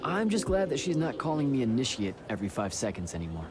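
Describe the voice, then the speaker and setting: A man's voice: medium pitch, very animated, fast, noisy background, close to an everyday voice. An actor playing a cocky young battlemage hero in a fantasy video game, blunt and irreverent in his delivery. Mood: incredulous, casual. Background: music.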